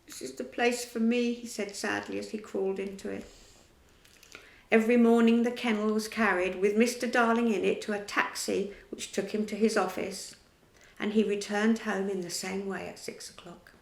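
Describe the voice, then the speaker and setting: Woman storyteller, steady reading, slight gravel, echoey room. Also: medium pitch, moderate pace. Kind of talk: reading a children's story aloud.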